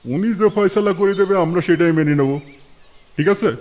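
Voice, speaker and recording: A man voicing a respected, calm older village elder in a moral cartoon, measured and conciliatory. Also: high-pitched, close to an everyday voice. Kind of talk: Islamic moral cartoon dialogue.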